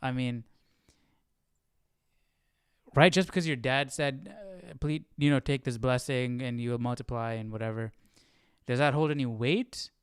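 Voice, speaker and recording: A man's voice: medium pitch, moderate pace, clean tone, dry recording, studio quality. A man hosting a casual live Bible study, thinking aloud and questioning, often checking with "right?".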